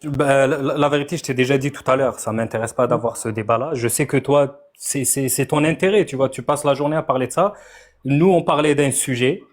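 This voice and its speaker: A man's voice: mid-range voice, a little fast. A man in a heated online debate, defensive and deflecting, often breaking in with "attends" and "tu vois". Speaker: debate guest.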